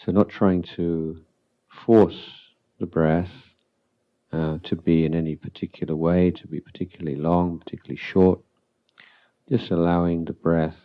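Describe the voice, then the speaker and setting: A man with a very low, even voice leads a guided meditation as a teacher. He speaks calmly in short phrases, leaving long pauses between them. The recording is a little dull. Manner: calm, unhurried, halting with long pauses. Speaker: Buddhist monk, meditation teacher.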